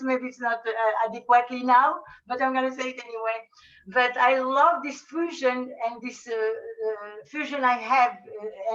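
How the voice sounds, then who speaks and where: An older woman from Morocco with a Moroccan French accent, speaking haltingly on a video call and searching for her words. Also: a little high, pushed and bright. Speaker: guest chef. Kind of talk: casual chat on a video call. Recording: dull lo-fi video call audio from a distance.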